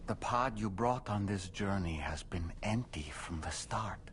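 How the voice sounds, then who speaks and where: An actor playing a composed middle-aged therapist who breaks hard news in a calm, measured way, in a video game cutscene. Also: male voice, low-pitched, a little hiss or hum.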